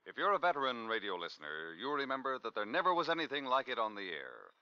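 A man's voice: medium pitch, sing-song, fast, clean tone, soft background music. An American man announcing on 1950s radio with a formal, dramatic delivery. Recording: dull 1950s radio broadcast recording.

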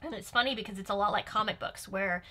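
A young woman with a bright, forward voice at a middling pitch. She chats casually as an excited fan, rambling and animated as she explains things. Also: fast talker. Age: young adult. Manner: animated, rambling, nerdy enthusiasm. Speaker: guest commentator and Star Trek fan. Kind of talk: casual reaction chat. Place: small cosy furnished room indoors.

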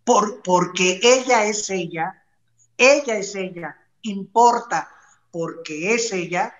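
A woman's voice: medium pitch, slow pace. A middle-aged Mexican woman giving blunt, emphatic opinions as an entertainment show panelist, swearing casually. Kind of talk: opinionated celebrity commentary. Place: bright room at home. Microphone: video call, device at arm's length. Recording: roomy, slightly dull video call audio.

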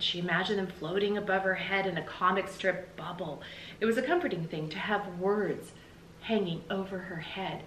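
A middle-aged American woman reading a children's story aloud in an animated, emphatic, explanatory way, like a teacher. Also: medium pitch. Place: small indoor room with bookshelves. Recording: roomy echo, faint background noise.

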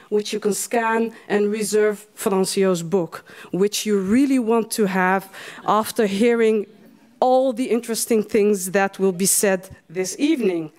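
A woman's voice: mid-range voice, a little mellow. A woman, a Tamazight speaker, moderating a panel at a podium microphone, with frequent fillers. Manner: hesitant, with many fillers and restarts. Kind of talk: introducing panel speakers at a public event. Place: lecture hall, at a podium.

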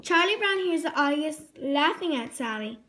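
A woman's voice: high-pitched, expressive, normal speed, bright, forceful tone. A female reading a children's storybook aloud expressively, sometimes stumbling over words and correcting herself. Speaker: storybook reader.

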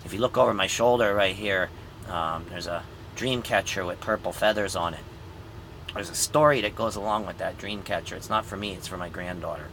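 Tired trucker grandpa, bright voice. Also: male voice, sits low, fairly quick, a little hiss or hum, poor audio. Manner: rambling and easygoing, with many ums. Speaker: truck driver vlogger. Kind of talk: casual vlog update to viewers. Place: inside a truck sleeper cab. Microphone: phone camera at arm's length.

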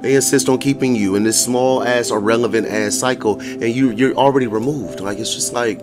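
A hoarse man giving a casual tarot reading to viewers, blunt and profane, often laughing as he talks. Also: mid-range voice, a little fast. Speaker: tarot reader. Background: music.

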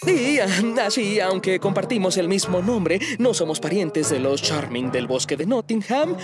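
A man's voice: sits high, animated, normal speed. A man voicing an earnest teenage storybook prince in a Latin American Spanish cartoon dub. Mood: earnest, explaining. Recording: music behind the dialogue.

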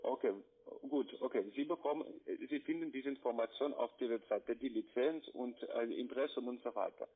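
A non-native man with a foreign accent, speaking haltingly and repetitively as a call centre agent. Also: medium pitch. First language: not German. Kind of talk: sales phone call, evasive replies. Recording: tinny narrow phone line.

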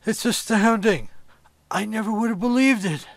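A man's voice: sits high, moderate pace, no room sound. A dazed veteran trader played by a man in a radio satire, repeating himself in short, disbelieving phrases. The sound is very dry. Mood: stunned, dazed. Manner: disbelieving, repetitive, clipped phrases. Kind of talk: satirical radio comedy acting.

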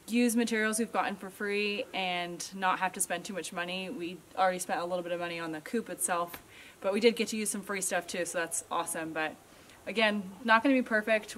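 Woman in her 30s, easygoing explainer voice, recorded outside on a camera mic with light noise. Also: mid-range voice. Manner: chatty and explanatory. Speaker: homesteading vlogger. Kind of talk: casual on-camera narration. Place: outdoors at a snowy forest homestead.

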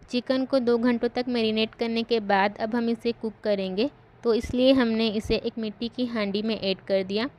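A woman host giving calm, step-by-step voice-over narration for a home cooking recipe. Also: a little high, a little hiss or hum.

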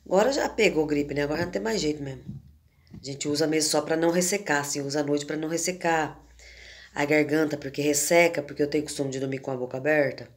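A hoarse woman in her fifties with a cold, from Brazil, chatting in a homely, devotional way to her viewers and recorded on a phone at arm's length in a room at home. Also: low-pitched. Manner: slow, homely talk with frequent "né" and "gente". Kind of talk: casual devotional chat to viewers. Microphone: phone camera at arm's length.

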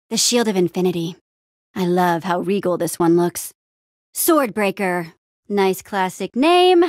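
A woman playing an upbeat young adventurer and town hero in a video game, friendly, casual, expressive and quippy. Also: medium pitch, no room sound, studio quality. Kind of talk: video game character acting.

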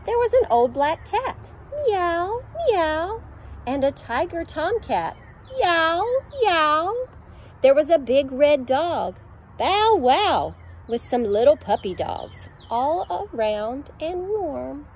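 A woman's voice: high voice, very animated, slow, a little hiss or hum. A middle-aged woman, a preschool teacher, reading a picture book to children in a sing-song voice, sometimes making animal sounds, recorded outdoors on a phone camera. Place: outdoor deck in a backyard. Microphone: phone camera at arm's length.

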